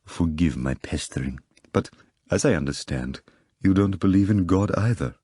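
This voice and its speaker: Brief: a man playing a sly, courteous foreign stranger with an accent in a storybook, husky tone. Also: fairly low pitch, big pitch swings, normal speed, darkish tone, dry recording, studio quality. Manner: polite, furtive, sly. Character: mysterious foreign gentleman, sly and deep-voiced.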